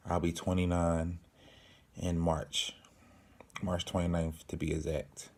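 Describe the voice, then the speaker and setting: A man in his late twenties hosting his own channel, answering viewer questions in a slow, earnest way with many ums and pauses. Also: low voice, monotone, a little mellow. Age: 20s. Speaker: channel host. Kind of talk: casual Q and A to camera.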